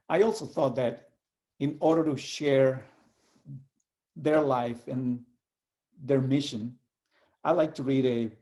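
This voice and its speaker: A man from El Salvador, giving an earnest, measured reflection and reading aloud with pauses. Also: medium pitch, dark tone. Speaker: community organizer sharing a reflection.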